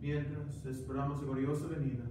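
A man in his thirties, a priest reciting the prayers of Mass in a steady recited manner, in a small chapel picked up by a distant camera mic. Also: mid-range voice, a little fast. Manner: steady recited prayer, chants some parts. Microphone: far camera. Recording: echoey chapel, distant mic, background hiss.

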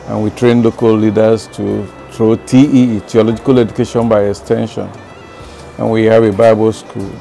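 Low, mellow, gravelly older Nigerian man. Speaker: clinic founder and evangelist. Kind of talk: informal interview answers. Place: outdoors in front of a building, seated.

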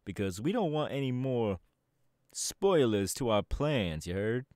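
A cocky young DJ gang boss, voiced by a man, bragging straight at the listener in an audio roleplay. His voice bounces all over the place in pitch, and the recording is dry and close with no room sound. He talks with a relaxed, swaggering confidence. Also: measured pace, no echo. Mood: teasing. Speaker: Team Star leader in a roleplay.

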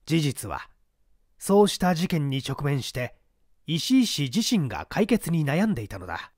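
A male reader narrates a classic mystery story in a measured way with dramatic asides. His voice is somewhat high and very lively in pitch, and the recording is close and dry. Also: no echo.

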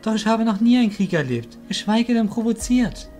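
A man's voice: sits high, animated, a little fast, mellow, faint music behind, voice pushed up. A man voicing an anxious, bewildered young male student in an audio drama, agitated and full of questions. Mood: anxious, upset.

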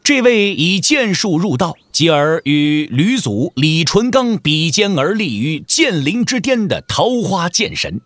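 A man's voice: on the high side, big pitch swings, a little slow, hardly any pauses, a bit bright, no echo. A man narrating a martial-arts audio drama in a dramatic storytelling style.

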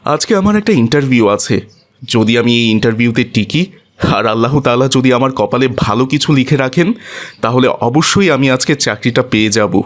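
A man's voice: mid-range voice, expressive, normal speed, lightly acted. Man playing a humble, earnest young man. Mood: hopeful. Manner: courteous, earnest. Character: devout, humble young man seeking a job. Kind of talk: cartoon voice acting.

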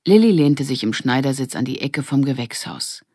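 A woman's voice: extremely low pitch, animated, moderate pace, darkish tone, husky, dry recording, studio quality. A woman reading a scripted children's story as an audiobook narrator.

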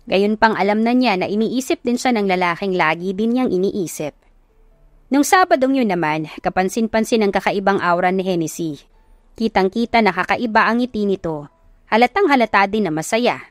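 A woman's voice: mid-range voice, animated, moderate pace, dry recording. A woman with a Filipino accent narrating a scripted love story in a dramatic storytelling style. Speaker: audiobook narrator.

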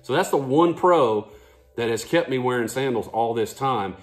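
Man in his forties, mid-pitched voice, casual and folksy, talking to camera at home, faint music behind. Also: lively intonation, normal speed. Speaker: fishing vlogger, host. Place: living room at home.

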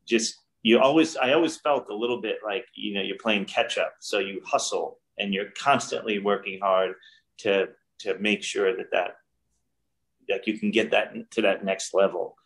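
A middle-aged man speaks in a lowish, unhurried voice, reflecting earnestly and often restarting his sentences. He is talking from a room at home over a webcam call. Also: steady tone, gravelly, a bit bright, low-quality recording. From New York. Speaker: guest director. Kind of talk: casual interview. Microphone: video call webcam.

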